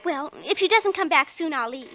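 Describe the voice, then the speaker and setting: A woman's voice: sits high, animated, normal speed, bright, forceful tone. A woman playing a plucky, inquisitive little girl with an artless, earnest manner in a 1940s radio suspense drama. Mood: innocent, probing.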